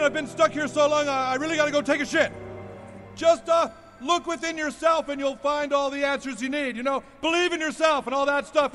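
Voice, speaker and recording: A flustered, greedy cartoon boy who babbles and hedges with stalling fillers. His voice is very high. Loud music runs under him. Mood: evasive. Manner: babbling and hesitant, full of ums. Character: greedy, frantic boy hoarding toilet paper.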